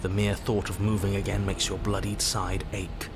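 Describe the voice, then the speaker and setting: A man playing the grave narrator of a horror game, telling events in measured, dramatic, ominous prose. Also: low voice, level tone, background noise, lightly acted. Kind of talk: dramatic scripted second-person narration.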